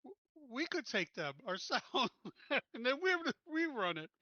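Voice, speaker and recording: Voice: mid-range voice, sing-song, a little slow, sharp tone, no room sound, dull audio. A tabletop roleplaying player speaking casually, joking around and stumbling over words.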